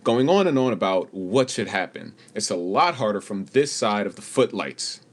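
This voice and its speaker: A man's voice: lowish voice, expressive, normal speed. An actor playing an earnest, plucky young male understudy in a radio play. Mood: defiant.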